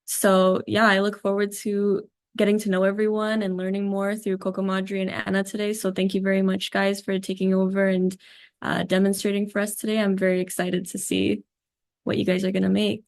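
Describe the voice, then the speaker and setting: A woman's voice: mid-range voice, monotone, brisk, no echo, studio quality. A woman from Afghanistan giving a friendly self-introduction at an online event. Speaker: helper at the event. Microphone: video call.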